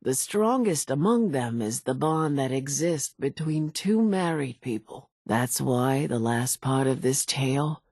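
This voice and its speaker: An actress playing a vengeful, folksy old islander woman in a video game, with a weathered, husky voice and a deliberate storytelling way of talking. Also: rumbling low, lively intonation, a little mellow, dry recording, studio quality, full character voice. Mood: ominous. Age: older. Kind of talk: video game character dialogue.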